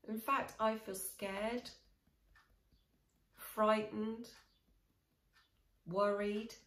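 A woman in her forties guiding a tapping session, speaking slow, soothing, repeated affirmations with sighs. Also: mid-range voice, fairly even intonation, a bit bright. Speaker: EFT tapping coach. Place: small room indoors. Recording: echoey small room.

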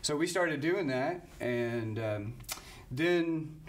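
A man from Kentucky, a band singer, chatting casually in a small studio, relaxed and rambling with restarts. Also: medium pitch, drawn-out words, slight background noise. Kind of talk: casual interview chat. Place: small library studio room.

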